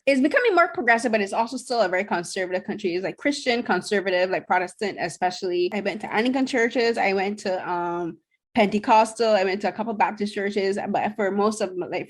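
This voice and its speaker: A woman's voice: mid-range voice, a little fast. A young woman from Barbados co-hosting a podcast, chatting casually about shows she watched, with lots of fillers and restarts. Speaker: podcast co-host.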